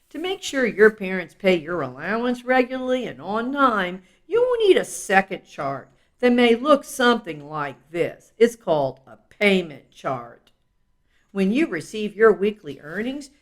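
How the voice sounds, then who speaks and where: A woman reading a children's self-help book aloud in an instructive, explanatory way, with casual asides. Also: mid-range voice.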